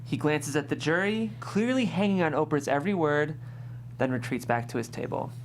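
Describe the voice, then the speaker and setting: A woman's voice: low voice, fairly quick, slight background noise. A woman reading a screenplay's scene directions as scripted narration at a table read. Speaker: stage-direction reader.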